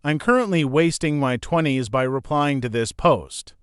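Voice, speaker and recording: Male narrator for read-aloud forum posts; smooth, slightly high voice, dry close recording. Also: moderate pace. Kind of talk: scripted narration.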